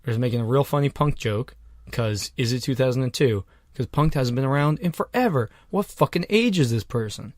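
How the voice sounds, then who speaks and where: Young male co-host, swears freely, jokey animated riffing, dry close sound, mid pitch. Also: brisk, dry recording. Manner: profane, joking, animated riffing. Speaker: podcast co-host. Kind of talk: casual comedic chat. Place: small closet.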